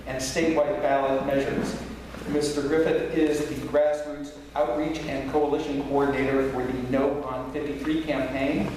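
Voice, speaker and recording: A man moderating a debate forum, reading speaker introductions in a measured way. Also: medium pitch, a little mellow, slight background noise. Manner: measured, reads from notes. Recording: echoey small hall, from far off.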